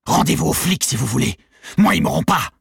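A man voicing a deranged, desperate gang leader who shouts and barks orders in an audiobook thriller. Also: fairly high pitch, animated, a little fast, creaky, slightly rough, no room sound, clean studio sound, pitched above the performer's own voice, exaggerated voice. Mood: demented fury.

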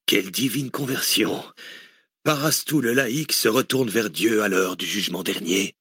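Cold gang boss, low and husky, clipped; a male narrator plays him in an audiobook thriller. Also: moderate pace, sharp tone, no echo, studio quality. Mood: sardonic. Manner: curt, clipped, menacing. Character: ruthless male gang leader, cold, short-tempered.

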